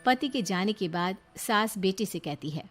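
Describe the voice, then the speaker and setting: A woman's voice: mid-range voice, expressive, normal speed, soft background music. A woman storyteller reading scripted narration for a moral story.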